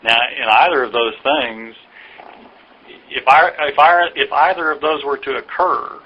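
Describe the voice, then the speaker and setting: A man explaining things patiently and haltingly in a phone conversation, like an official answering a caller's questions. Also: mid-range voice, breathy edge. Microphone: telephone. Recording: dull lo-fi recorded phone call.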